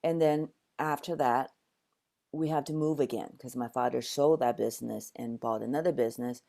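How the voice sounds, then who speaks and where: Older woman from Taiwan, very low voice, reflective storyteller. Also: normal speed, gravelly, dark tone. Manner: reflective storytelling with frequent restarts. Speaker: guest, dancer and actor. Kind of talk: conversational interview.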